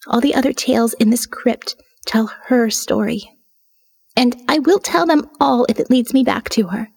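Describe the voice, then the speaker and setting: A woman performs a restless, sardonic young woman confiding in her diary for an audio novel. Her voice sits a little high and has a husky, breathy edge. She is wry and defiant, and she uses dramatic pauses as she confesses. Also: a little mellow, full character voice. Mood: tense, defiant. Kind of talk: dramatic first-person audio fiction narration.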